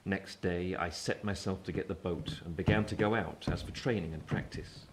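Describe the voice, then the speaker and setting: An actor playing an earnest, reflective gentleman narrating his own story in measured, literary style for a radio play. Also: low voice, fairly even intonation. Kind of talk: scripted first-person radio play narration.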